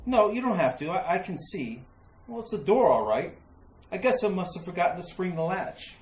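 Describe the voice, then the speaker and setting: Woman playing an anxious husband, swooping pitch. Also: big pitch swings, moderate pace, darkish tone. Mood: uneasy reassurance. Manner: hesitant, stammering, urgent. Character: anxious husband searching for his missing wife. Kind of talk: retro radio mystery acting. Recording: roomy sound with faint noise.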